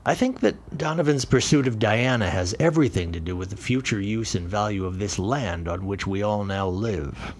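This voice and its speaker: A man playing an earnest, courteous but suspicious newspaperman in a period audio drama, speaking deliberately. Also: on the low side, lively intonation, a little hiss or hum, lightly acted.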